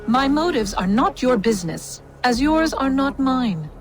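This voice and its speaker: An actress playing a cool, condescending giantess who bargains in a fantasy video game. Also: female voice, high voice, very animated, measured pace, soft background music. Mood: cold. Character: cold, scheming giantess offering a deal. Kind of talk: video game cutscene acting.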